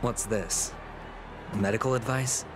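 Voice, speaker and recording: A sly young gambler, speaking low and unhurried. He phrases things in a smooth, teasing, theatrical way like a game schemer, with music clearly behind him. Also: fairly even intonation, rough recording. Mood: amused, musing. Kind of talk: video game voice acting. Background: background music.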